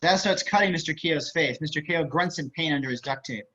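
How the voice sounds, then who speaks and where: A man's voice: on the high side, brisk, noisy background. A man reading screenplay action as scripted narration over a video call. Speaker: stage directions reader. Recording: slightly muffled video-call audio.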